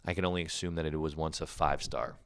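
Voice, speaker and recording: A man's voice: rumbling low, fairly even intonation, fast, fairly bright tone, dry recording, clean studio sound. A man hosting a comedy podcast, reading reviews aloud with crude, sarcastic, mocking commentary. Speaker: podcast host.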